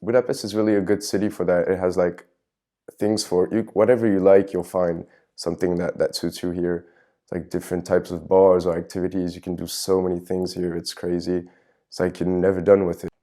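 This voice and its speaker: A young male student giving a relaxed documentary interview answer, with plenty of "like" and "you know". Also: deep voice, brisk. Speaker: exchange student.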